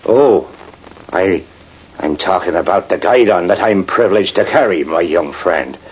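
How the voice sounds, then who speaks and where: An actor playing a proud, sentimental, tipsy Irish cavalry sergeant in a radio drama, rambling and halting with emphatic turns. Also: male voice, low-pitched, animated, breathy edge, full character voice. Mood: fervent, sentimental. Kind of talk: 1950s radio drama acting. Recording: muffled, noisy vintage radio recording.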